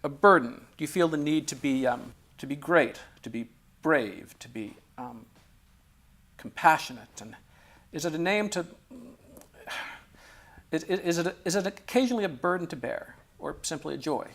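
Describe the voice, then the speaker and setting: A man hosting a relaxed interview, asking questions with frequent pauses, ums and restarts. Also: a little high. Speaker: interviewer and host.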